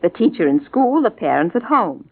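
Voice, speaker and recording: A woman's voice: medium pitch, sing-song, moderate pace, no echo. An American actress playing a patient, placating middle-aged mother and wife in a mid-century radio comedy. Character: patient, reasonable mother and wife, gently soothing. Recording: tinny old radio broadcast recording.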